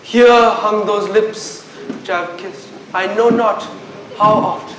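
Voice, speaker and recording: A man's voice: high voice, fairly even intonation, slow, background noise. A young man playing a brooding, passionate prince in a school stage Shakespeare play, with theatrical declamation. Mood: mournful musing. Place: indoor stage in a hall. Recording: very echoey hall, loud music behind.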